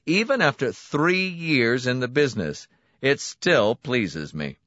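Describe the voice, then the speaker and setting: A man voicing a smooth, smiling, menacing clinic director who relishes his words. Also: medium pitch, sing-song, takes it slowly, a bit bright, no echo, lo-fi sound, voice pushed up. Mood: smooth, cordial. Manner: silky, pointed, relishing emphasis.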